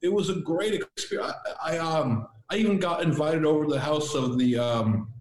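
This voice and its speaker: A man who grew up speaking Latvian, a podcast guest talking in an earnest, reflective way with halting restarts. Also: fairly high pitch, a little mellow. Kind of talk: casual interview chat. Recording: roomy echo.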